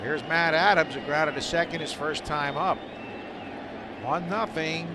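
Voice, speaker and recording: A man's voice: on the high side, unhurried. A man calling a baseball game play by play as live radio commentary. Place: outdoor stadium broadcast. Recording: very noisy outdoor ballpark broadcast. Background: loud steady outdoor ambient noise.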